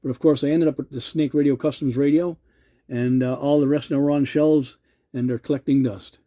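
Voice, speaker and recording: A middle-aged man with a very dark, mellow voice and flat, even intonation. He rambles casually and hesitantly in radio-hobbyist lingo. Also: medium pitch, fairly quick. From Canada.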